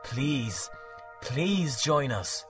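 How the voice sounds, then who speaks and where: A man voicing a gentle, stately goddess queen in an audiobook reading, soft and measured. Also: mid-range voice, animated, music in the background. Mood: pleading. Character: gentle regal goddess queen, female, ageless.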